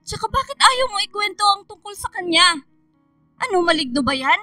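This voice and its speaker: A woman's voice: very high voice, very animated, normal speed, bright, forceful tone, no room sound, soft background music, heavily acted. A woman playing an anxious, testy teenage schoolgirl in a Filipino radio drama. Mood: angry, hurt.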